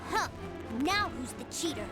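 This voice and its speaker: A very high, unhurried little boy's voice, playful and full of innocent wonder, the adoring younger brother in a video game. Loud game music plays underneath. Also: lively intonation. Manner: wide-eyed and childlike.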